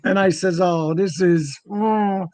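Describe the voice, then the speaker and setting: A man's voice: high voice, steady tone, slow pace, a little mellow, no room sound. A man from New York, a comic radio co-host, telling rambling, joking anecdotes in casual chat. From New York, United States.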